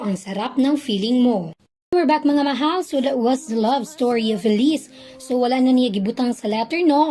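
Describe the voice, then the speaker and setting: A woman's voice: on the high side, close to an everyday voice. A woman playing a chatty, teasing love-advice radio DJ with a Filipino accent, slangy and switching between languages. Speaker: radio host. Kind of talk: lively call-in radio show hosting. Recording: music bed behind the voice.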